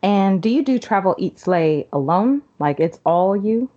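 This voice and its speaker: A woman's voice: medium pitch, lively intonation, a little slow, mellow, highs cut off. A woman hosting a podcast, asking her guest questions in a conversational way. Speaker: podcast host, interviewer. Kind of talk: conversational interviewing.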